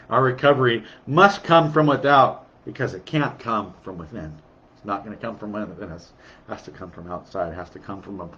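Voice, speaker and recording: A man's voice: medium pitch, fairly bright tone, highs cut off. A man with a sniffly cold, giving an earnest recovery sermon and repeating key lines for emphasis. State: sniffly, under the weather. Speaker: recovery devotional speaker.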